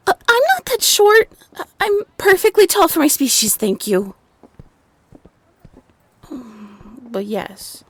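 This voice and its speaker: A woman's voice: medium pitch, vocal fry, a little hiss or hum. A woman voicing a short, friendly young non-human city local in a roleplay audio drama, chatty and a little flustered. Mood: indignant.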